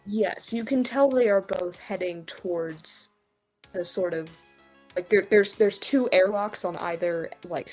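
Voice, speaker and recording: A woman's voice: fairly low pitch, a little mellow. A woman game master who improvises narration for a tabletop game and explains the scene in a conversational way.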